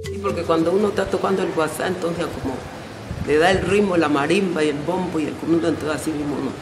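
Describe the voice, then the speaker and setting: High-voiced man. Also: fairly even intonation, fast talker, loud music behind the voice, low-quality recording. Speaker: traditional singer and guasá player. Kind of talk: documentary interview.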